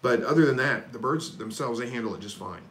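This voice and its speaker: A man hosting a live stream, reading viewer questions and answering in a relaxed, folksy, rambling way. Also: mid-range voice, a little fast, reverberant. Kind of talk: casual live chat Q&A.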